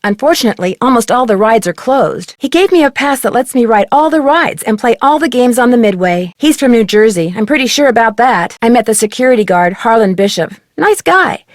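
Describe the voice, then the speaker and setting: A woman's voice: on the high side, lively intonation, a little fast, hardly any pauses, dry recording, studio quality, natural voice. A woman playing an earnest, inquisitive teenage girl detective in a video game, chatting in articulate, conversational lines. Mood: friendly, chatty.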